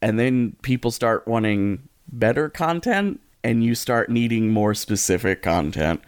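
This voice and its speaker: A man's voice: mid-range voice, a little slow, smooth, dry recording, studio quality. A man co-hosting a podcast, chatting casually and rewording his thoughts as he thinks aloud. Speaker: podcast co-host.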